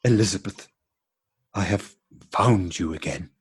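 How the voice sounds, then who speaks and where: Casting: male actor for bemused, very proper husband in absurdist radio drama. Husky, unhurried delivery, formal and repetitive. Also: low voice, heavily acted. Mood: solemn certainty. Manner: formal, deliberate, repetitive politeness. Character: courteous, bemused married man piecing together a coincidence. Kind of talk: absurdist stage dialogue played as radio drama.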